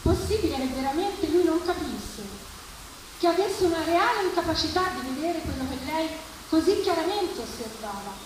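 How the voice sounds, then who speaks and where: A woman giving an expressive, theatrical reading of literary prose at a live event. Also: extremely high pitch, moderate pace. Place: live public reading at a festival, distant mic. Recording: echoey, distant, noisy live event recording.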